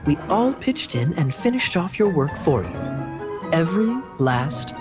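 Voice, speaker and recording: Genial, animated, confident knight. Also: male voice, fairly high pitch, a little slow, over loud music. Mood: proud. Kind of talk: video game voice acting.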